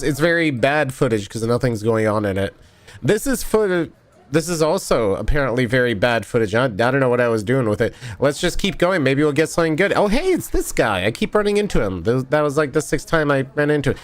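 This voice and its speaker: A man's voice: medium pitch, sing-song, normal speed. A tired, sniffly man chatting casually on a stream, rambling and correcting himself as he goes. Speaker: vlogger streaming a convention video review. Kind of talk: casual live-stream chat while editing.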